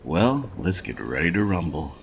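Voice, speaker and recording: A weary noir adventure-game hero, with a low voice full of gravel. His folksy, laconic monologue rises and falls freely, like a wry working man thinking aloud. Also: big pitch swings, normal speed, noisy background, full character voice. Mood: wry, matter-of-fact. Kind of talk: scripted point-and-click adventure game narration, noir.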